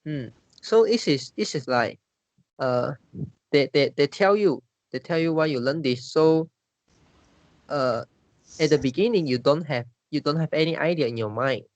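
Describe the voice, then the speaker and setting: Halting Malaysian man, dry sound. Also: on the high side, a little mellow, dry recording. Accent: Malaysian English. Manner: halting, many restarts and fillers. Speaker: discussion leader. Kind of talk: casual discussion.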